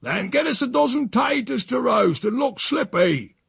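A man voicing a stubborn old countryman who grumbles loudly in broad rural speech. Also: very high-pitched, expressive, moderate pace, dry recording, studio quality, voice pushed up, exaggerated voice. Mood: grumbling. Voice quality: rough rural dialect speech. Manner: loud, grumbling, demanding.

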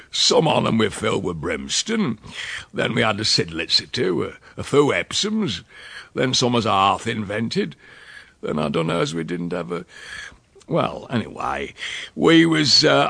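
A man voicing a wicked, droll old country uncle with a rural English accent, gruff and fruity, spinning tall tales in a storybook reading. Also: medium pitch, bright, forceful tone, no echo, full character voice. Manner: sly, drawn-out dialect storytelling.

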